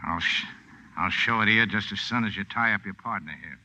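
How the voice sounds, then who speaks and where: An actor in a 1940s radio drama playing a tough, sardonic boat captain with a clipped, commanding, deadpan delivery. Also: male voice, fairly low pitch, fairly quick. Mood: menacing, curt. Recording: muffled old radio broadcast recording.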